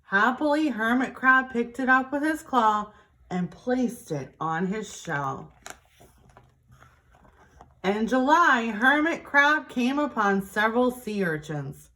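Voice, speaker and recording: A woman reading a picture book aloud to young children in an expressive, deliberate teacher's way. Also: a little high.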